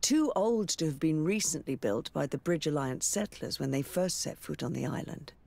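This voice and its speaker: Game role: curious female scholar, husky with a creaky edge, explaining carefully. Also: sits low, animated, moderate pace, darkish tone, full character voice. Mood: intrigued, thoughtful. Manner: thoughtful, articulate explaining. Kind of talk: video game character dialogue.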